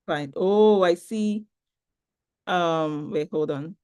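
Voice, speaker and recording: A woman's voice: lowish voice, extremely slow, a little mellow, smooth, dry recording, clean studio sound. Upbeat Nigerian woman on a webinar. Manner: chatty, upbeat, restarts and fillers while reading the chat. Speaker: webinar presenter. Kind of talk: online talk with audience chat.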